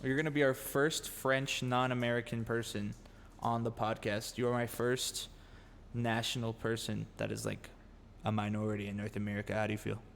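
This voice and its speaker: A man's voice: medium pitch, flat delivery, moderate pace, creaky. A man hosting a casual podcast, joking and teasing his guest and swearing freely. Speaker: podcast host. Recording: long room reverb, faint noise.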